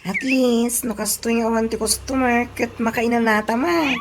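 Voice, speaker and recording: Woman playing a high-voiced, sensible Ilocano friend. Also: fairly even intonation, moderate pace, noisy background, close to an everyday voice. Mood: supportive. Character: helpful, sensible young woman friend, mildly exasperated. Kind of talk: Ilocano comedy radio drama acting. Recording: music bed under radio drama dialogue.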